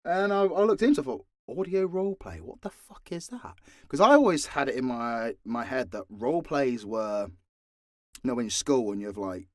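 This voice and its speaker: A man's voice: medium pitch, lively intonation, normal speed, no echo, studio quality. A man with a British accent chatting casually and candidly in an unscripted Q&A, swearing freely and poking fun at himself. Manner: candid, sweary, self-deprecating. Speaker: voice actor and audio channel creator.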